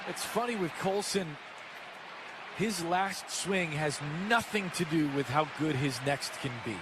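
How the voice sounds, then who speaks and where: A man's voice: a little high, expressive, a little slow. A man giving conversational, slangy analysis as color commentator on a live baseball radio broadcast. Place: stadium broadcast booth. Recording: noisy stadium broadcast with crowd. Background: crowd and ballpark noise.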